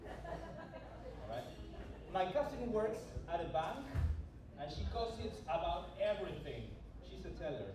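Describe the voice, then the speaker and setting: A man from Argentina with an Argentine Spanish accent doing a halting, self-deprecating stand-up routine at an open mic. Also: high-pitched, big pitch swings. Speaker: stand-up comedian. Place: small bar open mic. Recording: echoey bar, distant mic, loud background noise.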